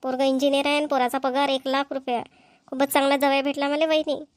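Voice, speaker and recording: Woman playing chatty village gossip, cartoon, Vidarbha Marathi accent, high voice, speedy delivery, folksy, dry sound. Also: little pitch movement, fast talker, no echo, lightly acted. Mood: pleased, gossipy. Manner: folksy, talkative, colloquial. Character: chatty village women gossiping at a family engagement. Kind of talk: amateur cartoon dialogue acting.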